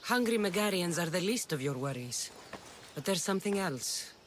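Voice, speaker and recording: An actor playing a hard, accusing soldier in a video game. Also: male voice, high-pitched, lively intonation, normal speed, fairly bright tone, a little hiss or hum. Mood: wry, hard. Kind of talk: video game dramatic acting.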